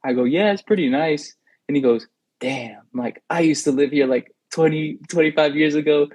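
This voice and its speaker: A man's voice: a little high, moderate pace, darkish tone, no room sound. Rambling young man, dry close sound. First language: Spanish. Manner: rambling storytelling with many fillers. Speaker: podcast co-host. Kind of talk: casual chat.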